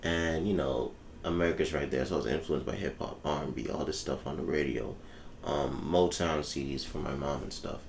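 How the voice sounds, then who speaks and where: Young man, musician guest, very low gravelly voice, unhurried, echoey room with faint hum. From The Bahamas. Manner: laid-back, easygoing, frequent ums. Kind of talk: casual interview chat.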